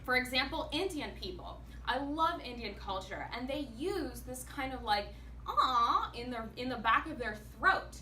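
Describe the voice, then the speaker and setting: Bright, animated woman giving a speech. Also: high-pitched, normal speed, few pauses. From United States. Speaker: public speaker, actor and singer. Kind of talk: prepared club speech. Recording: echoey room, mic far off, faint noise.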